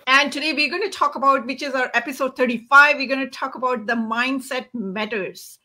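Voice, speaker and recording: A woman hosts a casual online livestream in an Indian accent. Her voice sits high and has a bright, forward ring. She speaks as a presenter addressing her followers. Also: brisk. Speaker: host of an online business show.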